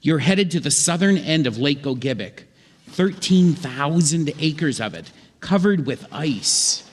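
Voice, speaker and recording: A man narrating a scripted comic story on stage in an old-time radio show, deliberately and with dramatic pauses. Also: fairly high pitch, lively intonation. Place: live theatre stage. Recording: theatre hall reverb, faint noise.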